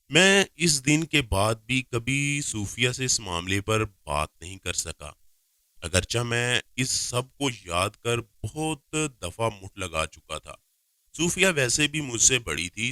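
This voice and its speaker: A man's voice: mid-range voice, sharp tone, no room sound, studio quality. A man reading a scripted first-person story aloud as a narrator.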